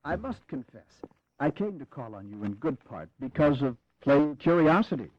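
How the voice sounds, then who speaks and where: An actor playing a grave, courteous American Revolutionary general in an audio drama, speaking in a measured, formal way. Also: male voice, mid-range voice, sing-song, dry recording. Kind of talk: dramatized history audio acting. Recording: dull, lo-fi 1980s audio drama.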